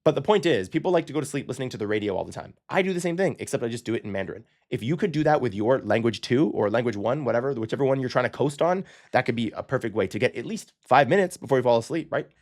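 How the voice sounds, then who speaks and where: A man talks through a language-learning lesson in a relaxed, conversational way, often pausing to ask "okay?" and correcting himself mid-sentence. His pitch sits in a middle range, and he moves along quickly. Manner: chatty, frequent "okay?" check-ins, self-correcting. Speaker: language-learning channel host. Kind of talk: casual explanatory lesson to camera.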